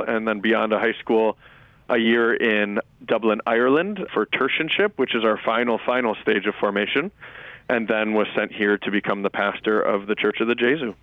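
A man who grew up speaking Lithuanian talks about his calling as a priest on a radio program. His low voice sounds smooth and resonant but comes through a thin, phone-like band. It is close and dry, and he speaks reflectively, with many pauses and fillers. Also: dry recording. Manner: reflective, measured, with frequent fillers and restarts. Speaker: Jesuit priest and pastor, guest. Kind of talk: radio interview.

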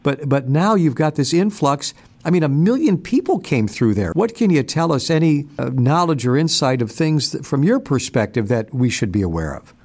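A man hosting a radio talk show, asking questions in a measured, conversational way with some stumbles. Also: on the high side, sing-song, a little mellow.

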